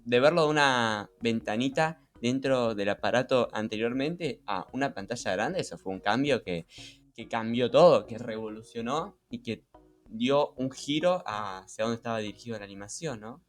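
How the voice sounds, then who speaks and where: A man with a Rioplatense Argentine accent co-hosting a casual podcast, speaking haltingly and repeating words as he goes. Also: medium pitch. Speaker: podcast co-host.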